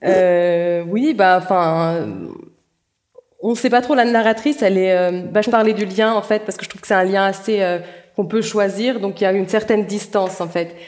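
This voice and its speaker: A woman author speaks in a reflective interview, searching for her words as she goes. She hesitates often and restarts her sentences, pausing to think before each new idea. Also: medium pitch, fairly even intonation, darkish tone. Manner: hesitant, many restarts and fillers. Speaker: novelist guest.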